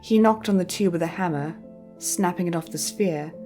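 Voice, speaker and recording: A woman storyteller reading scripted fiction narration in a measured, careful way. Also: lowish voice, dark tone, faint music behind.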